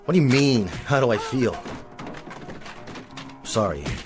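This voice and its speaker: Pompous, animated TV western star. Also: male voice, mid-range voice, unhurried, over music. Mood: haughty. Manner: theatrical, exasperated, haughty. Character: pompous, petulant male TV western star, self-pitying. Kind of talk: radio drama acting.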